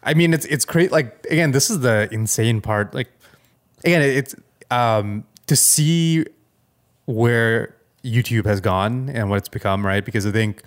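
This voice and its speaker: A man's voice: medium pitch, measured pace. A man co-hosting a podcast, chatting casually in a hesitant, rambling way. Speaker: podcast co-host.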